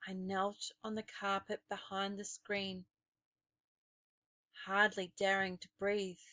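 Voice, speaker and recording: A woman narrating a children's story expressively, with dramatic, deliberate phrasing. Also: mid-range voice, monotone, pushed and bright, dry recording.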